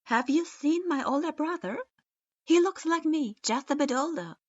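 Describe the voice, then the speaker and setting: A woman voicing a grim, earnest boy ninja who speaks gravely and with determination. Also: high-pitched, animated, normal speed, a little mellow, pitched above the performer's own voice. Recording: dull lo-fi recording.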